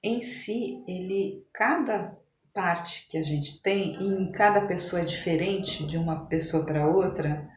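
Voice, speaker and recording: Low-pitched Brazilian woman in an echoey room. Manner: halting, reflective, often rephrasing. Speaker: podcast guest, physician. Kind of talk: conversational interview. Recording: echoey room with noticeable background noise.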